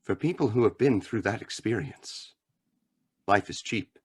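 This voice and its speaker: A man playing a grave, philosophical crime fighter with a measured, earnest manner in a radio drama. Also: fairly low pitch, lively intonation, slightly rough. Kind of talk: old-time radio drama acting.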